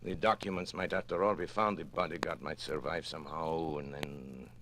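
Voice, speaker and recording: An actor playing a suave, boastful, coolly calculating master criminal in a 1940s radio drama, pompous and deliberate with savoured pauses. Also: male voice, rumbling low, steady tone. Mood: boastful, scheming. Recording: noisy 1940s radio broadcast.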